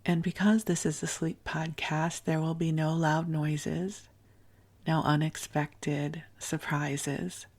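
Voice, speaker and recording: A woman hosting a sleep podcast in a soft, hushed, soothing and gently rambling tone, deliberately lulling. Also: low-pitched, flat delivery. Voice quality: soft, hushed, breathy at phrase ends. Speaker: sleep podcast host and spiritual teacher.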